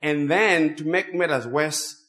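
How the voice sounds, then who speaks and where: A man's voice: on the high side, fairly bright tone. A man from Zimbabwe, for whom English is a second language, preaching a sermon as a guest speaker while he has a cold. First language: not English. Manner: slow, drawn-out emphatic phrasing.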